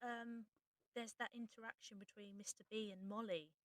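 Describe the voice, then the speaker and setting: A woman chatting informally about audio drama as its writer and voice actor, explaining things in a casual, animated way. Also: mid-range voice, normal speed, dry recording. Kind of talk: casual behind-the-scenes chat.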